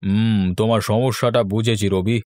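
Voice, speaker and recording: Man playing a deep, measured village landlord. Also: flat delivery, darkish tone, no room sound, clean studio sound, lightly acted. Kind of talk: Bengali fairy-tale cartoon dialogue.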